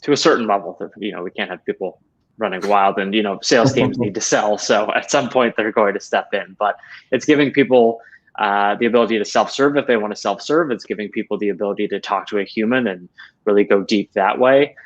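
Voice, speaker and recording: A man's voice: fairly low pitch, brisk. A man in his thirties giving conversational answers as an interview guest on a video call from a room at home. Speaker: interview guest, head of support operations. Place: small home room, indoors. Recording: dull, lo-fi video call audio.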